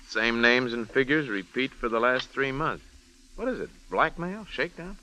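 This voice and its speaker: An actor playing a sleepy, easygoing, wisecracking translator friend in a 1940s radio drama. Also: male voice, mid-range voice, animated, a little slow, pushed and bright, low-quality recording. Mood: drowsy joking. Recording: old radio recording, faint noise.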